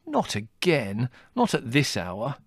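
A man performs a theatrical young man from a storybook, scornful and exasperated. He snaps and groans sardonically, with very lively swings of pitch. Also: normal speed, dry recording, clean studio sound, lightly acted.

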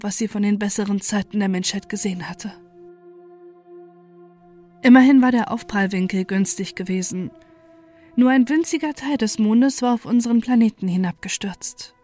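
A woman reading a scripted first-person horror story in a measured, grave storytelling manner. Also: mid-range voice, warm tone. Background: music.

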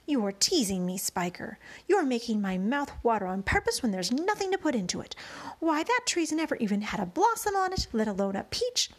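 Woman reading a greedy fat aunt, plummy, gossipy, breathy voice. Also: fairly high pitch, very animated, moderate pace, few pauses, low-quality recording. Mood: scheming. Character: greedy fat pulpy middle-aged aunt.

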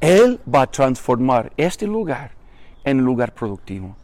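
A man's voice: medium pitch, very animated, a little slow, a little mellow, dry recording. An older man, a pastor, with a Chilean Spanish accent mixed with Mexican, preaching emphatically to his congregation outdoors with rhetorical repetition. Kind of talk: recorded sermon greeting to a congregation. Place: outdoors on a vacant lot.

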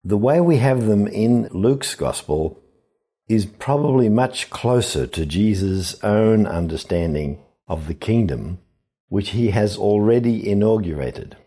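A man giving a reflective scripture sermon as a priest, in a measured, deliberate, explanatory manner. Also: lowish voice, lively intonation, warm tone, husky.

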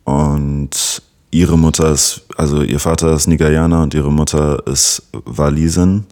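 Very deep-voiced young Berlin rapper. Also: male voice, flat delivery, unhurried, darkish tone. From Berlin, Germany. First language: English. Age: young adult. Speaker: rapper guest. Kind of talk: casual interview answers.

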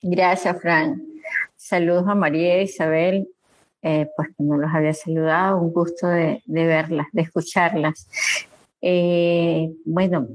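Slow, halting low-voiced woman. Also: no room sound, low-quality recording. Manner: slow, halting, soft-spoken with many restarts. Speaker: social audio room participant. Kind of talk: casual chat.

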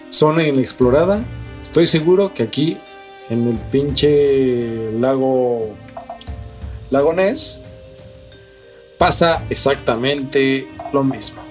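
A man's voice: medium pitch, darkish tone. Mexican man chatting over guitar music. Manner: slangy, mildly profane, speculative. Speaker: co-host of a paranormal news show. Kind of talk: casual news chat, part reading and part commentary.

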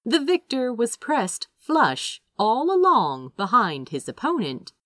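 A woman reading a story aloud as expressive scripted narration. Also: lowish voice, dry recording. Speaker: audiobook-style reader of fan fiction.

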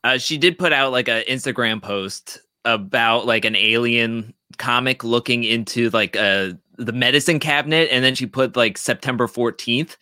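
A man chatting casually as a panelist, in a hesitant, halting way. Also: mid-range voice, bright, forceful tone, no room sound, studio quality. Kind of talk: casual chat.

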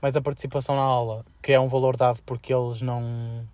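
A young man with a European Portuguese accent co-hosts a casual student podcast. He talks quickly at an average pitch, in a slangy and halting way. The recording is dry, with little room sound. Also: level tone, a little mellow, dry recording. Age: young adult. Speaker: podcast co-host.

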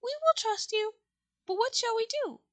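A woman voicing a tiny, regal and excitable mouse queen in a squeaky storybook voice. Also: moderate pace. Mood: regal, trusting. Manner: indignant, queenly, exclamatory. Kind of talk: children's storybook character voice.

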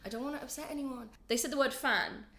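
A woman's voice: high-pitched, quick pace, bright, forceful tone, reverberant. A young woman in her twenties, chatting as a podcast guest, a bit congested from a flu, rambling and self-deprecating. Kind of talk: casual chat.

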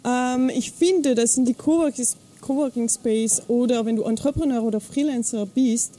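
A woman with a Swiss French accent, a native French speaker, chatting as an interview guest and often pausing to search for words. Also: a little high, dark tone. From French-speaking Switzerland. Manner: halting, searches for words, many fillers. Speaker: guest, travel blogger. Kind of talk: casual interview chat. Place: outdoors at an evening camp.

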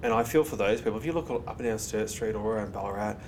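Fast-talking Australian man, noisy recording. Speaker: interview guest. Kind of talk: casual conversational interview. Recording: noisy recording with low rumble.

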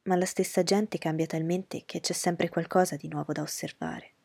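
A woman voicing a witty, sharp young woman who speaks calmly and poised, with a gravelly, husky voice. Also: on the low side, normal speed, no room sound, studio quality.

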